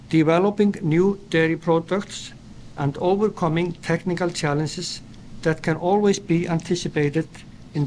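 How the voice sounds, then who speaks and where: A middle-aged man from Iceland with an Icelandic accent, a company founder reading a prepared speech in a formal, measured way at an indoor event. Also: a little high, a little mellow, a little hiss or hum, poor audio. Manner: formal, measured delivery read from paper. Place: indoor event at a dairy plant.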